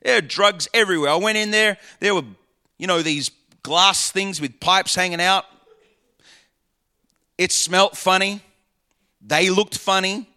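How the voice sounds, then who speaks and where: Male preacher, slightly hoarse voice, high and bright, fervent sermon delivery. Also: a little slow, long pauses. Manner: fervent preaching with repeated phrases. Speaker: pastor.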